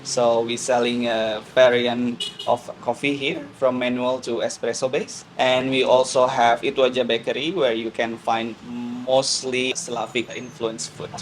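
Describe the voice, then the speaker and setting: A man in his thirties from Borneo talks about running his café. His English is halting, with many pauses and filler sounds. His pitch sits in the middle range for a man. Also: level tone, slight background noise, rough recording. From Borneo, Indonesia. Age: 30s. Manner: plain, halting non-native English with many "uh"s. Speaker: coffee shop and bakery owner. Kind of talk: casual interview answers.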